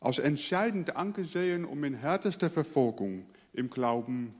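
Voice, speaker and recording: A male pastor from the Netherlands, a native Dutch speaker, preaching earnestly and letting his phrase endings fall quiet. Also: on the high side, animated, moderate pace, in a large hall. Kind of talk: sermon.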